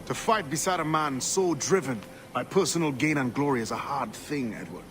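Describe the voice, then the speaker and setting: Man as dignified quartermaster, mid-pitched, formal, measured, game drama, faint ambience behind. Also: animated, fairly bright tone, slight background noise, lightly acted. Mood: stern, sincere. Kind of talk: video game cutscene acting.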